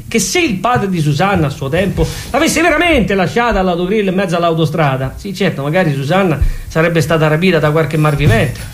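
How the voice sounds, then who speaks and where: A man performing a theatrical comic storytelling monologue, emphatic and repetitive, with sudden exclamations. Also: sits high.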